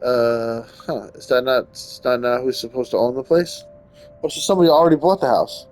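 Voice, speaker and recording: A man's voice: mid-range voice, fairly quick, a little mellow, soft background music. A man giving casual gameplay commentary and reading story text aloud, often stumbling and rereading. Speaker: gaming streamer.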